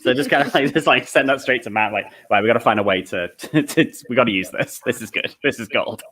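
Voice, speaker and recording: A man chatting casually in a group, telling stories in a rambling, excitable way. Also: mid-range voice, fast. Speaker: co-writer on a fan script project.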